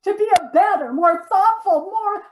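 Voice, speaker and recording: Woman acting high-pitched, nagging, indignant wife. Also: normal speed, slight background noise. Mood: aggrieved. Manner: dramatic, exasperated, repeats herself. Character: nagging, indignant, self-righteous wife in couples therapy. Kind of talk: readers theater comedy sketch.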